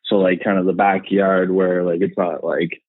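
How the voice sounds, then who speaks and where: Casual guest: Canadian man, thirties, low voice, rambling and easygoing, heard over a thin phone-like line. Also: little pitch movement, normal speed, no echo. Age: 30s. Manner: rambling, many fillers and laughs. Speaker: podcast guest. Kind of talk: casual chat.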